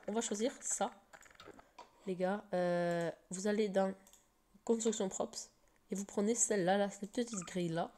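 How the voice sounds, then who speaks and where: A woman's voice: mid-range voice, dark tone, slight background noise. A woman with a slight cold, talking through a casual game tutorial while recording her screen, hesitant and full of fillers and restarts.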